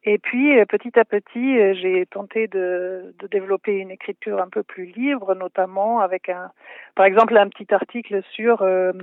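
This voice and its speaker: A woman from Germany, native German speaker, answering interview questions in French with a German accent, hesitant and full of filler pauses. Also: mid-range voice, fairly even intonation, no echo. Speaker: photography historian and translator. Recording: tinny dry phone line.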